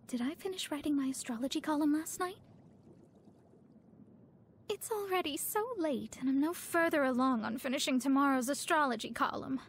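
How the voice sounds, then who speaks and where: A woman's voice: high-pitched, slight background noise. A woman voicing a proud young female astrologer in a video game, speaking formally and pompously with theatrical delivery. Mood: flustered. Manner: formal, pompous, precise wording. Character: proud young woman astrologer, haughty, scholarly, easily flustered.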